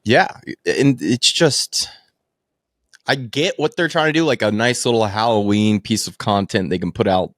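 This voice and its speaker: A man's voice: fairly low pitch, moderate pace, a bit bright, dry recording, studio quality. A man co-hosting a movie podcast, talking off the cuff about film and TV, casual and sometimes profane. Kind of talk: casual opinionated film and TV chat.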